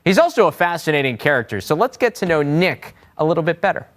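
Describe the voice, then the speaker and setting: A man's voice: on the high side, big pitch swings, fast talker, fairly bright tone. A man hosting a sports talk show, interviewing a guest in an upbeat, conversational way.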